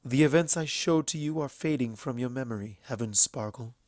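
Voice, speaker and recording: A man voicing a regal, earnest mare princess in a fantasy story reading, with solemn, emphatic and measured speech. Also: mid-range voice, a little mellow, no echo, natural voice. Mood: gentle, explaining.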